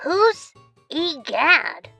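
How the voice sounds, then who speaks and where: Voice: sing-song, extremely slow, creaky, bright, forceful tone, faint music behind, heavily acted. A performer voicing a perky, bratty young turtle-monster boy in a fan cartoon, in a childlike, cheeky voice. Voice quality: childlike, high-pitched. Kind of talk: cartoon voice acting for fan animated series.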